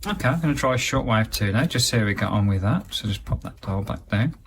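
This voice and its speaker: A man's voice: on the low side, expressive, quick pace, noisy background. A man giving a casual, chatty hands-on review of a radio, plain-spoken and understated.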